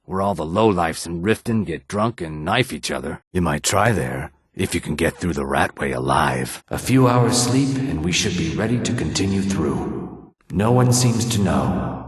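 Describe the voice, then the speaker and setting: A man voicing a shady, calculating lizard-folk adventurer in a video game, with low husky tones and measured, insinuating formal phrasing. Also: no echo, heavily acted. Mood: gruff, casual, then scholarly, intent. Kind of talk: video game character dialogue.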